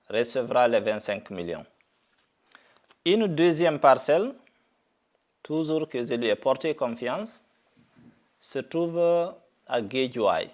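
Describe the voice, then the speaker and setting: A middle-aged man from Guinea making a deliberate public statement, speaking haltingly with frequent pauses and fillers. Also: mid-range voice. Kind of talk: slow, deliberate video statement.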